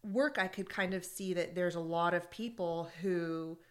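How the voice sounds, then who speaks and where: Lively woman telling stories with laughs. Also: lowish voice, a little slow. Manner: animated storytelling with frequent laughs. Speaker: podcast co-host. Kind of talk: casual conversation about workplace groups.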